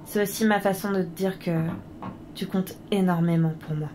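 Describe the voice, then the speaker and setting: A woman playing a gentle, nostalgic mother in a French audio drama, speaking earnestly. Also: fairly low pitch, fairly even intonation, moderate pace, noisy background, lightly acted. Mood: tender.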